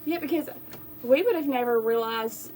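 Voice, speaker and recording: A woman's voice: high-pitched, moderate pace, a little mellow, a little hiss or hum. A woman hosting a live radio show, friendly and rambling with many fillers, in a radio studio. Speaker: radio host.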